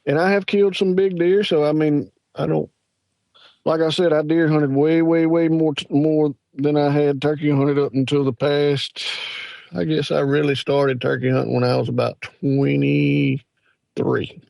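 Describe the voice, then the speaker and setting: A man's voice: fairly high pitch, flat delivery, a little slow, creaky, darkish tone, no echo. A man in his forties with a Southern US accent, a guest telling rambling, folksy stories in a casual interview. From Mississippi, USA. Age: 40s. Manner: rambling, folksy, with lots of fillers. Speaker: guest hunter.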